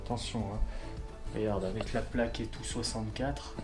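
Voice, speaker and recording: A man's voice: on the low side, fairly even intonation, normal speed, music in the background. A man from southwestern France chatting casually to camera as a vlogger, informal and slangy with plenty of swearing. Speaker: car vlogger.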